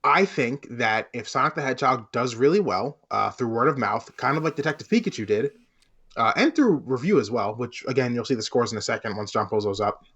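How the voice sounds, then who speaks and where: A man's voice: mid-range voice, lively intonation, brisk, fairly bright tone, husky. Man hosting a casual podcast, slightly dull sound. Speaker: podcast host.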